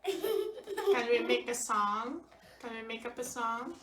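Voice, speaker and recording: A woman voicing a friendly, playful potato puppet in a children's puppet show, asking gentle, encouraging questions. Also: fairly high pitch, very animated, slow pace, exaggerated voice. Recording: roomy, distant microphone, clearly noisy home recording.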